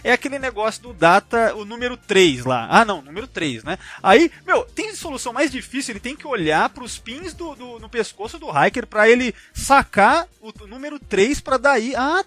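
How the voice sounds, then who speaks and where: High-pitched, animated Brazilian man hosting casually. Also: moderate pace, hardly any pauses, no room sound. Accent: Brazilian Portuguese. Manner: informal, rambling, slangy, frequent tag questions. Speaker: podcast host. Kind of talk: casual fan discussion.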